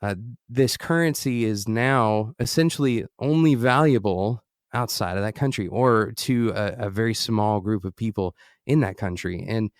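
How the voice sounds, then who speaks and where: American male podcast host, lowish voice, dry close sound, musing and halting interview style. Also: no room sound. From United States. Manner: halting, thinking aloud, rephrasing as he goes. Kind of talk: conversational interview, long musing questions.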